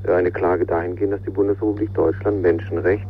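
A man giving a halting, spontaneous statement as a spokesman, on a noisy lo-fi recording with hum. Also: deep voice, little pitch movement. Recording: noisy, muffled lo-fi line with hum.

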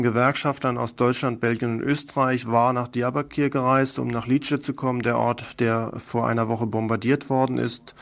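Guy reading scripted radio news, kinda gravelly creaky voice, medium pitch.

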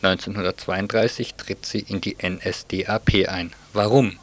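Male radio culture reporter, low-pitched, delivering scripted review narration. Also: fairly even intonation, moderate pace, a little hiss or hum.

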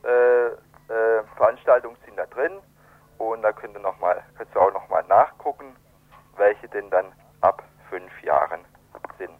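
A man being interviewed, explaining things in a halting way and searching for words, over a telephone. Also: fairly low pitch, no room sound. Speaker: event organiser, interviewed guest. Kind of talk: spontaneous radio interview by phone. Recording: narrow tinny telephone line.

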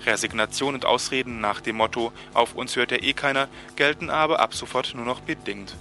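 A man's voice: medium pitch, a little fast, sharp tone. A man presenting radio news, reading a scripted introduction to a report. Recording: music behind the voice.

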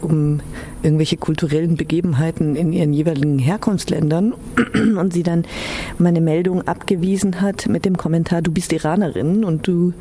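A woman's voice: fairly low pitch, steady tone, normal speed, dark tone, a little hiss or hum. A woman who grew up in Iran and Germany, sharing personal memories as an interviewee.

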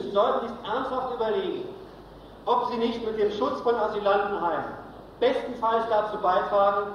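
High-voiced man, emphatic political lecture, repeated phrases, distant in a reverberant hall. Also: a bit bright. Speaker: political speaker at a congress. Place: large hall, live speech. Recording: very echoey hall, distant, dull, faint noise.

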